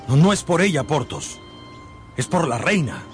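A man's voice: a little high, huge pitch swings, normal speed, faint music behind. An actor playing a young swordsman hero in a radio drama, sincere, resolute and respectful in manner. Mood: urgent. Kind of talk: Spanish-language radio drama acting.

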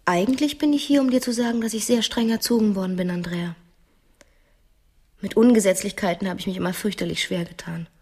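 An actress playing a strictly raised, quietly cunning wife who feigns naivety in a radio play, speaking hesitantly with pointed innocence. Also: female voice, mid-range voice, a little fast, breathy edge. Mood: veiled threat. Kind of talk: radio play acting in dialogue.

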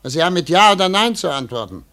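An actor playing a stern, impatient investigator in a German radio drama, questioning in a commanding, clipped way. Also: male voice, sits high, big pitch swings, fast, bright, forceful tone, full character voice. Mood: stern, pressing. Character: stern, impatient male investigator interrogating a suspect.

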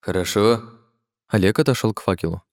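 A man reading an audiobook in a steady, even storytelling manner. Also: lowish voice, a little fast, no echo, clean studio sound. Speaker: audiobook narrator. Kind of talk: scripted narration.

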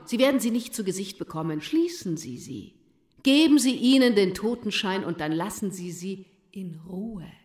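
A woman acting in a German radio drama as an indignant, commanding speaker who harangues with emphatic insistence. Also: mid-range voice. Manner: emphatic, insistent, rhetorical repetition. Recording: roomy echo.

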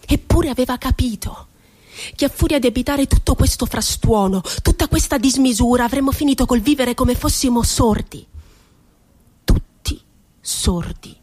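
An actress performing a theatrical monologue as an impassioned, rebellious, poetic woman, declaiming with deliberate, emphatic phrasing. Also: high-pitched, a little mellow, slightly rough. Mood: grave. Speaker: stage actress.

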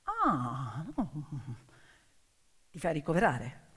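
A woman's voice: low-pitched, huge pitch swings, extremely slow, a bit bright, slightly rough, long reverb tail, voice pushed down, heavily acted. A woman storyteller voicing an amused, condescending male newspaper editor in a stage monologue. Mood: doubtful.

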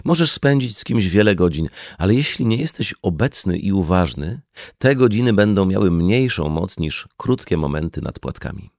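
A man reading an audiobook as scripted narration, in a measured, explanatory manner. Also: low voice, sing-song, a little mellow, no echo, clean studio sound. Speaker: audiobook reader.

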